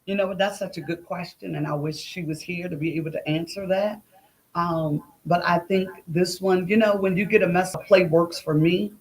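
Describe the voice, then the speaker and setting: A woman's voice: lowish voice, fairly even intonation, normal speed, poor audio. A woman talking conversationally as an interview guest, telling stories and acting out what people said. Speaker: guest, playwright. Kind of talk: conversational storytelling.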